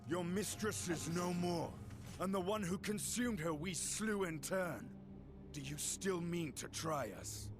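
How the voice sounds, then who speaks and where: An actor playing a stern, threatening fighter in a fantasy video game, with formal, challenging speech. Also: male voice, high voice, unhurried, music in the background. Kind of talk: dramatic video game voice acting.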